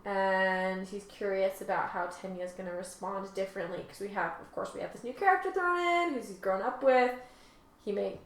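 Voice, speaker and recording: A room echo surrounds this woman's medium-pitched voice. She is a co-host, chatting casually. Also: normal speed. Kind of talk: casual chat.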